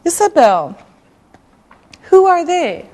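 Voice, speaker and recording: A woman teaching English to beginners, enunciating carefully and deliberately in a scripted lesson. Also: very high voice, sing-song, a little mellow.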